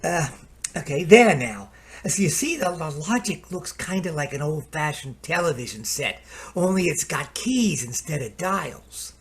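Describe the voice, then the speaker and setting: A man's voice: a little high, expressive, measured pace, breathy edge, full character voice. Chatty, folksy salesman played by a man. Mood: obliging sales patter. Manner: chatty, hemming and hawing, colloquial. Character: folksy, patient salesman of home computer gadgets. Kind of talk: radio play acting.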